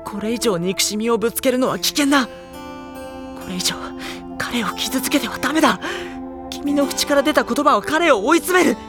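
Anxious teen boy hero narrating a drama, soft-hearted n earnest, music playing behind. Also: very high-pitched, normal speed. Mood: desperate pleading. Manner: earnest, introspective. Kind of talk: dramatic first-person narration and dialogue.

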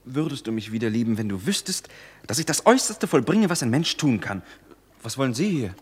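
An insecure, boastful young lover in a radio play. He is husky and whiningly insistent, with lively intonation in a strongly reverberant space. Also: mid-range voice, a little fast. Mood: desperate, irritated. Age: young adult. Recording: reverberant space, long echo.

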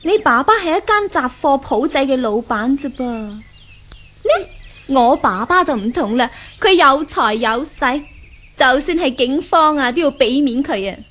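A woman's voice: high-pitched, expressive, a little slow, a bit bright. An actress playing a haughty, jealous rich young woman in a Hong Kong Cantonese radio drama, with a taunting, threatening manner. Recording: noisy old 1970s radio recording.

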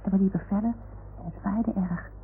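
Casting: woman as imaginative small boy in a vintage radio drama, childlike and earnest, creaky voice, thin noisy band-limited sound. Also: mid-range voice, fairly even intonation, a little fast, close to an everyday voice. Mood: anxious confiding. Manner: childlike, earnest, repeating adults' words. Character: imaginative young boy talking to his toy animals. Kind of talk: 1960s Dutch radio play acting. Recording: old radio recording, phone-like band, noise.

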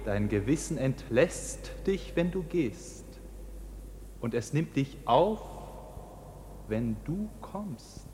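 An actor playing a stern priest of a court in a radio drama, solemn and sermonizing. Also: male voice, mid-range voice, very animated, slow, darkish tone, a little hiss or hum. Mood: solemn, cryptic. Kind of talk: dramatic radio play acting. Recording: long church-like reverb, background ambience.

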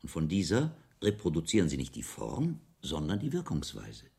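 A man's voice: a bass voice, huge pitch swings, normal speed, gravelly, darkish tone, breathy edge, full character voice. A male actor playing a learned, assured friar in his fifties who teaches expressively in a radio play. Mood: learned enthusiasm. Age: 50s.

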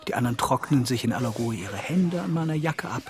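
A man's voice: mid-range voice, expressive, fast talker, a crowd in the background, close to an everyday voice. A man playing a meek, apologetic young traveller in a radio play, timid, deferential and uncomplaining. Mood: resigned, modest. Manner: timid, deferential, swinging from confiding to stammering.

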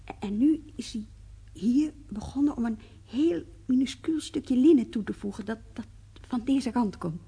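Radio drama: courteous female conservator, mellow and very breathy, careful and explanatory in delivery. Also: a little high, animated, normal speed, full character voice. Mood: careful explaining. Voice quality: husky, breathy. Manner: polite, hesitant, explaining carefully. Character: courteous woman art conservator admiring a colleague's work. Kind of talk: naturalistic radio drama acting.

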